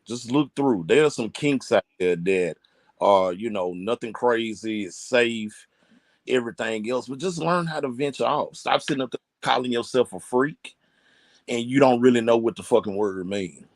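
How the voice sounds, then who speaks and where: A man's voice: medium pitch, normal speed, no echo. A man co-hosting a casual podcast, giving blunt, slangy advice and cursing freely. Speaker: podcast co-host.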